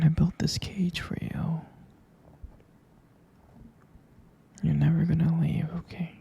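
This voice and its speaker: Man playing an obsessive, whispering, husky yandere boyfriend. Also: fairly high pitch, mellow, dull audio, exaggerated voice. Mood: coaxing, controlling. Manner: coaxing, repetitive, cooing pet names. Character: obsessive yandere boyfriend captor, man, falsely sweet and possessive. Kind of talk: whispered boyfriend ASMR roleplay.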